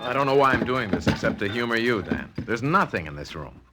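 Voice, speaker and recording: A man's voice: medium pitch, sing-song, fairly quick, very noisy, lightly acted. An actor playing an easygoing, skeptical man in his thirties, breezy and colloquial, in 1940s radio style. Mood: amused, dismissive.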